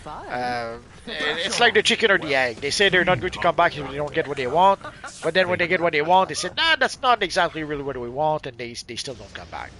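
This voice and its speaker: A man's voice: on the high side, very animated, fairly quick, bright, forceful tone. Teasing man co-hosting a game stream. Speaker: livestream co-host. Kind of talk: casual gaming chat.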